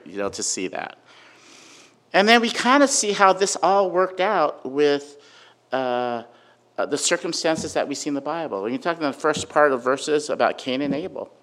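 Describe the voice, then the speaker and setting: A man giving an informal sermon with a personal story, talking conversationally and loudly, with many fillers and frequent "right?" check-ins. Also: mid-range voice. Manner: conversational, filler-heavy, asks "right?" often; says he has a really loud voice. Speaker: preacher.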